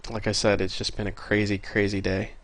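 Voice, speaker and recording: A man's voice: on the low side, flat delivery, brisk, a bit bright, no room sound. A man hosting a casual coding tutorial, a little congested from a cold, easygoing and apologetic as he thinks aloud while typing. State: stuffy, slightly congested from a cold. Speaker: programming tutorial host. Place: at a computer desk with keyboard typing.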